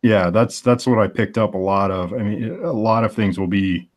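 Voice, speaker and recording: Male comic artist, forties, very low voice, thoughtful and searching delivery in casual stream chat. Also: moderate pace. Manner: thoughtful, searches aloud for the right words.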